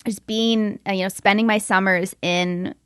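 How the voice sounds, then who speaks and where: A woman's voice: medium pitch, steady tone, moderate pace, fairly bright tone, clear, resonant tone, dry recording. Chatty woman with a dry, close sound. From Belgium. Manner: informal and chatty, frequent "like". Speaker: guest, model and entrepreneur. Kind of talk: casual interview storytelling.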